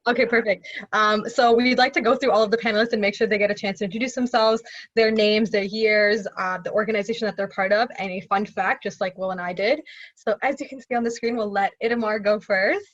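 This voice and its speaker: A woman's voice: medium pitch, fairly even intonation, quick pace, fairly bright tone, no room sound, dull audio. Upbeat woman hosting a video panel. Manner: upbeat, exclamatory reactions. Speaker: panel moderator. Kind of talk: casual online panel hosting. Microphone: video call.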